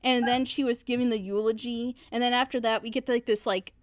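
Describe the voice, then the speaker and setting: A woman's voice: on the high side, steady tone, brisk, dry recording, clean studio sound. A woman giving an opinionated, casual review in an emphatic, critical manner. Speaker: reaction show panelist.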